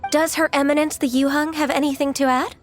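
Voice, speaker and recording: A woman's voice: high voice, fairly even intonation, fairly quick, soft background music, lightly acted. An actress playing a formal, ceremonious female government official in a fantasy video game.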